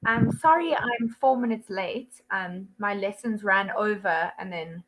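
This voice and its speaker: A woman's voice: medium pitch, a little slow, fairly bright tone, rough recording. A young South African woman with a South African accent, an online English tutor chatting casually over a video call, laughing often and full of ums and likes. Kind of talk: casual one-to-one lesson chat.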